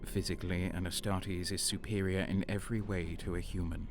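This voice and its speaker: A man playing a haunted traitor soldier who narrates his war in a grave, deliberate way for an audio drama. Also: low-pitched, flat delivery. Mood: grim, calculating. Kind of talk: dramatic scripted first-person narration. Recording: music under the voice. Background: dramatic music.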